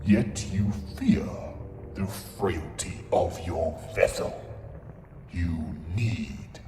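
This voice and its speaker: A man playing an ancient, menacing alien Sith master in an audio drama, scornful and commanding in manner. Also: sits low. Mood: ominous, commanding. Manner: slow, ominous, commanding. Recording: echoey hall, loud music underneath.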